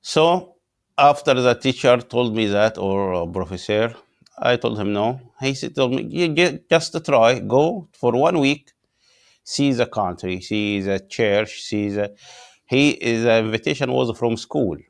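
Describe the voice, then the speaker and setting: Gravelly-voiced Egyptian pastor, halting English. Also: male voice, medium pitch, lively intonation. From Upper Egypt. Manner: halting non-native English with many "uh" pauses. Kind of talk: interview guest telling his story.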